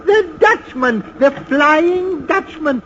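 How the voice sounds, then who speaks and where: An actor playing a nervous, superstitious lighthouse keeper, halting and fretful, in an old radio horror drama. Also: male voice, animated, fairly bright tone, full character voice. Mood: uneasy. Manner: halting, high-strung, fretful. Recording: muffled, hissy old radio drama recording.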